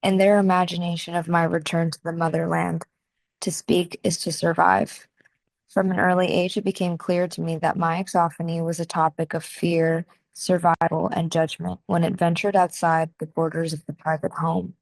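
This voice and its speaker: A young American woman reading her own reflective video essay in a measured, soft-spoken way, with deliberate pauses. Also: lowish voice, level tone, vocal fry, no echo, rough recording. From United States. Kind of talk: scripted reflective narration.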